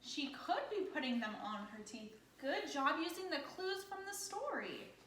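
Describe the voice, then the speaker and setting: A woman with a fairly high voice reads a storybook as a teacher would to young children. She keeps her phrasing simple and pauses to ask questions. The room is echoey, with a little noise behind her. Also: sing-song, sharp tone. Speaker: elementary teacher. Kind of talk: interactive picture-book read-aloud with questions.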